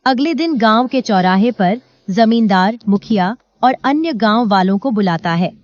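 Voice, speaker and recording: Higher-pitched woman narrator, dry sound. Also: expressive, normal speed, darkish tone, no room sound, muffled recording. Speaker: story narrator. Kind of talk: scripted storytelling narration.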